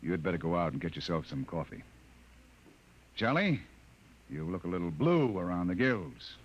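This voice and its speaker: A man's voice: low voice, big pitch swings, normal speed. A man acting a composed, authoritative army colonel in a 1950s radio drama. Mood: composed, probing. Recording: muffled old radio recording, faint noise.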